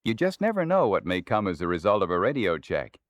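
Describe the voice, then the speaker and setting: A man's voice: sits low, huge pitch swings, brisk, no room sound. A man with an American accent narrating scripted instruction in an explanatory announcer's manner.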